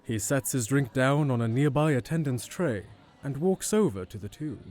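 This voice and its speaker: A man's voice: mid-range voice, lively intonation, normal speed, a little mellow. A man reading scripted third-person narration for an audio drama.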